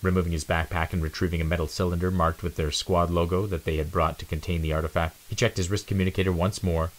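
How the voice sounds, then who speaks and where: A man's voice: low-pitched, flat delivery, fast. A man giving a dramatic scripted reading of an adventure storybook as narrator.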